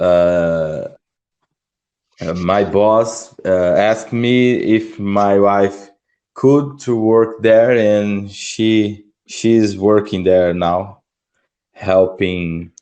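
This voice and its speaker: Young Brazilian man, hesitant non-native English speaker, unhurried, lowish voice. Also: darkish tone, lo-fi sound. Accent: Brazilian Portuguese. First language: Portuguese. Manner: halting, searching for words. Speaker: English student. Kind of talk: online language lesson conversation.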